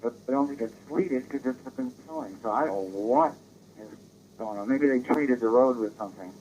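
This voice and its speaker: A man's voice: medium pitch, big pitch swings, moderate pace, a little mellow. Ham radio guy talking from his car, casual, dull lo-fi radio sound with faint noise. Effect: digital radio transmission. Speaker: amateur radio operator.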